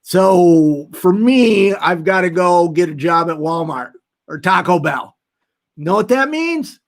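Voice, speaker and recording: A man's voice: high voice, vocal fry. A man in his late fifties talking casually and candidly to livestream viewers, earnest and emphatic as he makes his points. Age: late 50s, nearly 60. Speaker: nonprofit founder hosting a livestream.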